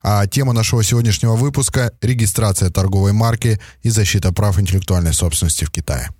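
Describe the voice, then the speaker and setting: A man's voice: lowish voice, fast, darkish tone, slightly rough. A man hosting a business podcast, interviewing a guest and hesitating often with filler sounds. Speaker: podcast host.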